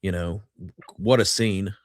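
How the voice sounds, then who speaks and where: Male show host, deep register, audibly under a cold, casual conversational delivery, very dry recording. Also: fairly even intonation, unhurried, dry recording. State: has a cold. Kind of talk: casual talk show discussion.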